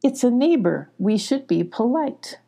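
A woman's voice: a little high, very animated, unhurried, gravelly, mellow, voice pushed up, full character voice. A woman voicing a friendly, sensible, well-mannered young girl in a children's storybook. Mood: friendly, concerned.

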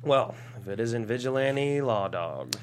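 An actor playing a cocky, foul-mouthed celebrity with a sardonic, exasperated, flippant way of talking in a comedy table read. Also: male voice, medium pitch, expressive, unhurried, natural voice. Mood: dryly flippant. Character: cocky, sarcastic, foul-mouthed male celebrity stranded in town. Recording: clear background hum and noise.

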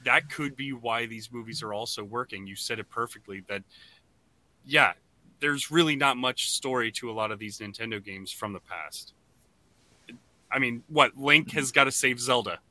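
Male podcast co-host, conversational, piercingly bright tone, very dry sound. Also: mid-range voice, normal speed, dry recording, poor audio. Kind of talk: casual panel discussion.

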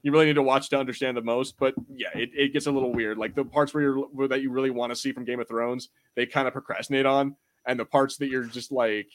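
A man's voice: medium pitch, fairly even intonation, fast, hardly any pauses. A man hosting a podcast, chatting casually about fantasy film and TV in a rambling, irreverent, sweary way. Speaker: podcast host.

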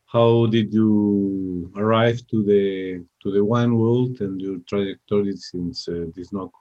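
A man's voice: fairly low pitch, fairly even intonation, unhurried, dark tone, dull audio. A man from Spain with a Spanish accent, hosting a wine radio interview in English with many filler uhs. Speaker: radio show host.

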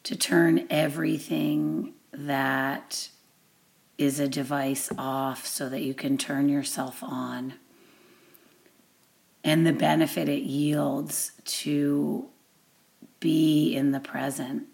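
Slow, low-pitched woman guiding meditation. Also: flat delivery, creaky, darkish tone. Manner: deliberate, measured phrasing with long pauses. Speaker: meditation guide. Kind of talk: guided meditation introduction, gentle instruction.

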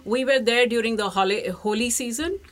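A woman's voice: fairly high pitch, a little fast. A woman from India with an Indian accent, speaking casually and enthusiastically as an interview guest, with plenty of emphasis. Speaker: interview guest, travel professional. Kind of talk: casual conversational storytelling.

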